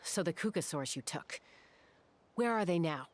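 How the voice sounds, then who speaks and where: A woman's voice: on the low side, lively intonation, moderate pace, slight background noise, close to an everyday voice. A woman playing a tough, commanding young gunslinger in a fantasy game, stern and probing. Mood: menacing. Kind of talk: video game dialogue acting.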